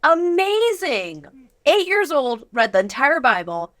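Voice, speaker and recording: High-voiced, enthusiastic woman interviewing. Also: sing-song, slow, fairly bright tone, no echo. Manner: enthusiastic, exclamatory. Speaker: interviewer and host. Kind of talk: casual, chatty interview.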